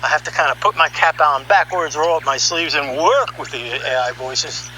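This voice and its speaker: A man giving an opinionated, conversational monologue as a podcast host, sardonic and animated, throwing out rhetorical questions. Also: a little high, normal speed, a little hiss or hum, low-quality recording. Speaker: podcast host and audio artist. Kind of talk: opinionated monologue, reading article excerpts aloud.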